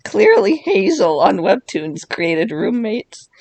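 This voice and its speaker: Raspy-voiced woman, slow and dry. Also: medium pitch, big pitch swings, darkish tone. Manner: chatty and self-deprecating, laughs often. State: sick, voice worn. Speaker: YouTuber. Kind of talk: casual commentary while chatting with an AI chatbot.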